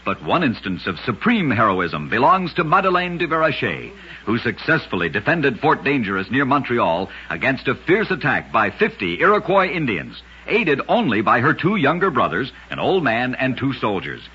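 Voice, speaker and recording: A man's voice: medium pitch, sing-song, normal speed, pushed and bright, breathy edge, highs cut off. A man narrating short scripted stories as a radio host, with a dramatic, emphatic delivery, on a vintage radio recording.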